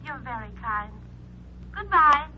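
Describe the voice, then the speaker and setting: Worried mother, high voice, timid, deferential, old radio drama, muffled faintly noisy recording. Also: big pitch swings, slow pace, heavily acted. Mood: anxious, grateful. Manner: hesitant, grateful, deferential. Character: anxious, grateful mother, polite and timid. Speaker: actress in a radio detective series. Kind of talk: 1940s radio acting.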